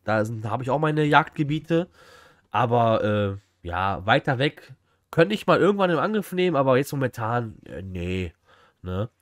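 A man's voice: mid-range voice, sing-song, moderate pace, no echo. Guy streamer, 30s, chatting with viewers in slang, dry sound. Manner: slangy, greets and answers chat directly. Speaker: streamer reacting to a flea market video. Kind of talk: casual livestream chat and reaction. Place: small indoor room, streaming setup.

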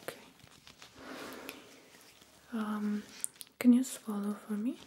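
A young woman in a soft-spoken ASMR medical role play, breathy and whispery, gentle and soothing, close to the microphone. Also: mid-range voice, steady tone, slow pace, slight background noise. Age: young adult. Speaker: ASMR performer role-playing a doctor. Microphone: close binaural microphone.

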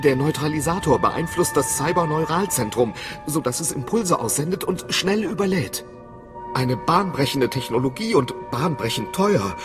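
An actor playing a nervous, boastful middle-aged weapons inventor in a video game, hesitant and eager to please. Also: male voice, fairly high pitch, lively intonation, normal speed, natural voice. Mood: proud, eager. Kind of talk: video game voice acting in German. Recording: music behind the voice.

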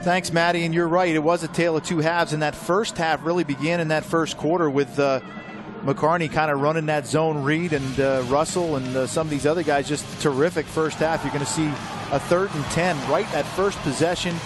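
A man narrating game highlights as a play-by-play commentator, brisk and full of stats. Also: fairly even intonation. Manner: brisk, stat-heavy recap. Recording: voice-over with loud music bed.

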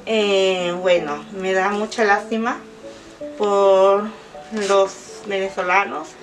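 Woman in her fifties, from Peru, emphatic. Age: 50s. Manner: emphatic, rising pitch when stressing points. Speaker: interviewed migrant. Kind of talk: earnest interview answers. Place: small indoor office. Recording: distant mic with background guitar music.

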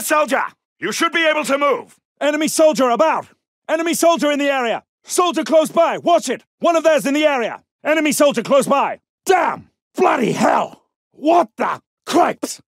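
High, forceful British wartime soldier. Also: male voice, very animated, normal speed, no room sound, clean studio sound, heavily acted. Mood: alert, tense. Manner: clipped, commanding, politely formal. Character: brisk, gallant British Second World War soldier. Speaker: video game character voice actor. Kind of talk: military game battle barks.

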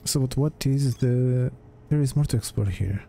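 A man's voice: medium pitch, moderate pace, vocal fry, dark tone. A man giving casual gameplay commentary, hesitantly muttering his thoughts aloud as he plays. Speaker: gamer, let's play host.

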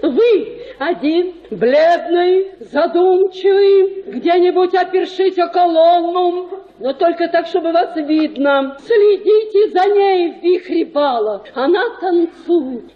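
A woman's voice: very high voice, full character voice. An actress playing a scheming, gushing provincial lady and matchmaking mother in a declamatory radio play style. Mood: dreamy persuasion. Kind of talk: Russian radio play acting. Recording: echoey room, dull muffled sound.

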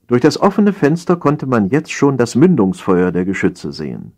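A man with a husky voice reading satirical stories as an audiobook, in a theatrical, mock-solemn manner with deliberate phrasing. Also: medium pitch, huge pitch swings, a little mellow. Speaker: audiobook narrator.

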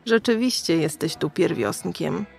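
Gravelly, foul-mouthed underground streetwalker. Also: female voice, on the low side, unhurried, a little mellow, faint music behind. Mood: taunting, matter-of-fact. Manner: crude, streetwise, taunting, slangy. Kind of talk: radio drama acting.

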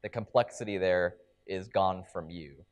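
A man's voice: low voice, a little slow. A young man giving a conference keynote on a large stage into a headset mic, explaining ideas with frequent ums and restarts. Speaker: keynote speaker.

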